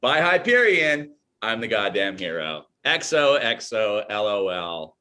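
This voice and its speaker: A man's voice: fairly low pitch, big pitch swings, unhurried, pushed and bright, full character voice. A man playing a smug, childish, boastful corporate villain from a video game with a mocking manner. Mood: smug mockery. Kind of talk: re-enacting video game lines.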